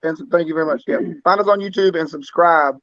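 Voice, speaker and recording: A man's voice: on the high side, quick pace, a crowd in the background. A man speaking as a musician guest in casual chat, with a blunt, joking way of talking. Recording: dull, dry lo-fi call audio.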